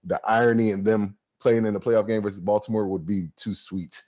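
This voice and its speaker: A man's voice: fairly low pitch, fairly even intonation, fairly quick, a little mellow, muffled recording. A man co-hosting a casual sports podcast, talking in a laid-back, wry and joking way. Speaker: podcast co-host.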